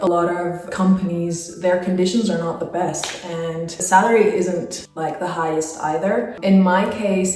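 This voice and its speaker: A woman's voice: lowish voice, darkish tone, recorded from a distance. Young woman, Spain-raised travel vlogger, casual rambling Q&A, heavy room echo. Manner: chatty, lots of "like" fillers. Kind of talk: casual Q&A chat.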